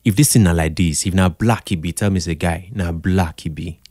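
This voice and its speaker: Deep-voiced Nigerian man chatting casually. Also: normal speed, no echo, studio quality. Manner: expressive, mixes in Pidgin, repeats phrases for emphasis. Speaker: podcast guest, radio drama producer. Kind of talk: casual interview chat.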